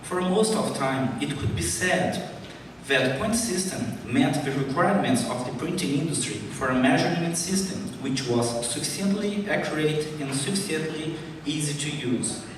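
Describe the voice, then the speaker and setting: A man from Brazil whose first language is Portuguese, with a Brazilian Portuguese accent, reading a prepared conference talk in a careful, deliberate way. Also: fairly high pitch, animated, noisy background. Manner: careful, deliberate, apologetic about his English. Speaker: conference speaker. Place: large conference hall. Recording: echoey hall recorded from far off.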